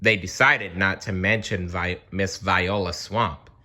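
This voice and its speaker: Slow, expressive storybook reader. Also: low-pitched. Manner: deliberate, dramatic pauses. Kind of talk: expressive children's read-aloud narration.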